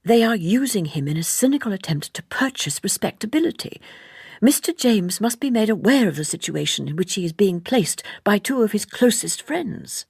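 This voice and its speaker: A woman reading an audiobook, voicing an earnest, fussy gentleman who speaks formally and insistently in roundabout sentences. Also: medium pitch, animated, moderate pace, vocal fry, husky, dry recording, studio quality, pitched above the performer's own voice. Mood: indignant, urgent. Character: earnest, fussy, gossiping gentleman, gravely indignant. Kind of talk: audiobook character dialogue.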